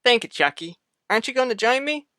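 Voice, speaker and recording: A woman's voice: fairly high pitch, lively intonation, quick pace, bright, forceful tone, no room sound, clean studio sound. A woman voicing an affable but defensive grown man with a folksy manner in a radio soap opera.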